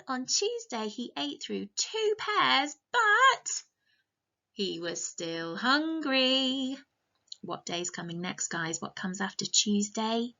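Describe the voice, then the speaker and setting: A woman teacher reads a storybook to small children in a slightly high, very animated voice. She takes her time, sounding sing-song and encouraging as she puts questions to her young listeners. The recording is a little dull. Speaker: teacher reading a picture book to young children.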